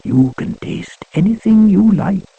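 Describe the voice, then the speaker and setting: A man voicing a tender, seductive woman courtier in a story, soft and intimate, on a lo-fi cassette. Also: high-pitched, lively intonation, unhurried, gravelly, breathy edge, no room sound, pitched above the performer's own voice, full character voice. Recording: lo-fi transfer from 1990s audiocassette.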